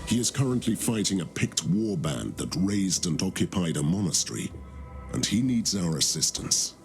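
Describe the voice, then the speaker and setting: A man giving measured, grave narration in a game. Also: deep voice, sing-song, creaky, soft background music.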